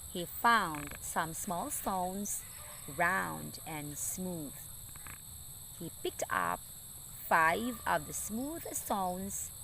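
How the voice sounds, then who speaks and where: A woman teacher reading a story aloud to children with deliberate, expressive phrasing. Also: on the low side, slight background noise, low-quality recording.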